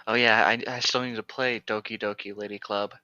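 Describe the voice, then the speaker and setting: A man with a mid-pitched, bright, forceful voice co-hosts a casual read-along, reading aloud and cutting in with mocking jokes. The sound is dry and slightly dull. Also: level tone, fairly quick, no room sound. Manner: jokey, mocking. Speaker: co-host of a book read-along show. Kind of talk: casual joking chat with read-aloud passages.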